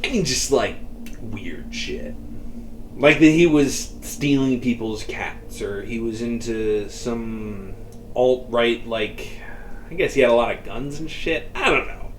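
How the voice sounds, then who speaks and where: A cagey young chemist rambles through his story, padding every thought with fillers and curses, voiced by a man reading his own book. The voice sits in an ordinary male range and drops low and quiet as he trails off. A faint hiss runs underneath. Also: slow pace, natural voice, low-quality recording. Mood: rambling. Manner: rambling, full of fillers and swearing. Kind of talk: audiobook dialogue reading.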